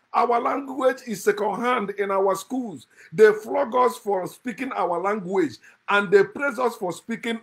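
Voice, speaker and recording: A man's voice: sits high, vocal fry, fairly bright tone, slightly rough. A middle-aged man gives a fiery, scornful monologue to camera from a room indoors, chuckling between his points. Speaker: channel host. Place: indoor room, seated at a desk.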